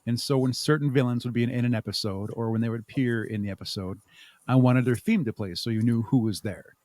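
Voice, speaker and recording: A man's voice: mid-range voice, fast, a little mellow, dry recording. A man speaking casually as a podcast guest, explaining his creative work in a rambling, chuckling way. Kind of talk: casual interview chat.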